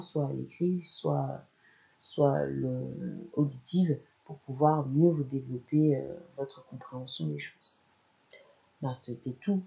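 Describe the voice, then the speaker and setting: A presenter explaining a learning topic straight to the listener, in a gravelly, mellow voice. The sound is dull and lo-fi. The delivery is steady and instructional. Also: mid-range voice. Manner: explanatory, addresses listener directly. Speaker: language channel presenter. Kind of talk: educational talk to camera.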